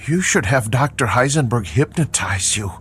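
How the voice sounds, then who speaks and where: An actor playing a suggestible, compliant male patient in a radio drama, with a meek and halting way of talking. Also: medium pitch, expressive, a bit bright, lightly acted. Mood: friendly, earnest.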